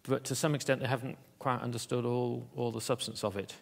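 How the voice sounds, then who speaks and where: A man giving a conference keynote in an auditorium, talking in a halting way with many fillers and restarts. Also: medium pitch, level tone, gravelly. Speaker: keynote speaker.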